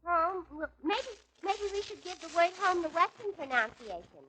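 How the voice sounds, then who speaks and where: A piercingly high, bright and nasal New gal, brash, ditzy and sure of her own talent. It is 1940s radio comedy from an old broadcast. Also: expressive, moderate pace, a little hiss or hum, full character voice. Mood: self-assured. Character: brash, ditzy woman proud of her dreadful singing.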